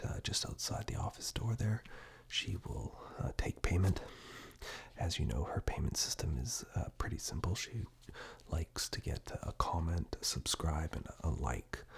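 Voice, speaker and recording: A man whispers close into a binaural mic in a deep, crackly register. He speaks as an ASMR performer giving personal attention. His delivery is gentle, reassuring and unhurried, with a soft fry running through the hushed words. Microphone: close binaural microphone.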